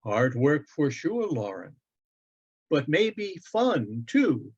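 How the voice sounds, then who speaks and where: A man reads as a gentle, wise father talking to his little boy in a storybook tale. He is unhurried, warm and reverent, heard through slightly dull audio. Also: a little high, sing-song, breathy edge, full character voice. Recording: dull, lo-fi online event audio.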